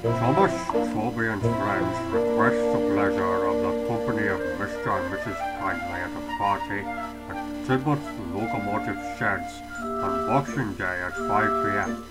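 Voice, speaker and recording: A stern railway controller, played by a man speaks with a low, authoritative voice. Loud music runs behind him throughout the fan radio play. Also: moderate pace, lightly acted, rough recording. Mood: commanding. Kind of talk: fan audio drama.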